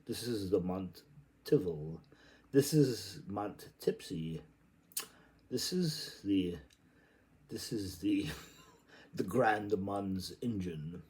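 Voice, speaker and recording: A man's voice: deep voice, lively intonation, very slow, long pauses, dark tone, rough recording. A man reading literary prose aloud in a theatrical, playful and exclamatory way. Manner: playful, exclamatory delivery with sudden outbursts. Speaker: reader.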